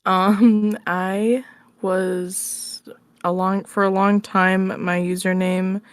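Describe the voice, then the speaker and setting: A woman's voice: mid-range voice, flat delivery, extremely slow, smooth, dry recording, studio quality. A woman speaking casually as a podcast guest, with a playful, giggly way of talking. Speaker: podcast guest, ASMR roleplay creator. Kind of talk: casual chat.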